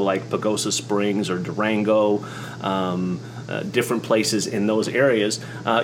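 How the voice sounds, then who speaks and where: A middle-aged American man telling a personal story in a reflective monologue, haltingly and with repeated words. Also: lowish voice, slight background noise. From United States, born in New York, from Oklahoma. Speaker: host.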